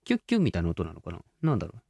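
Casting: male radio co-host, hugely animated intonation, slightly high voice, dry studio sound, light banter and mail reading. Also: rapid-fire, vocal fry, slightly rough, no echo.

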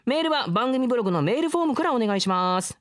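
A man's voice: very high voice, animated, rapid-fire, fairly bright tone, dry recording. A man in his thirties co-hosting a radio show, moving between casual chat and announcements. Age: 30s.

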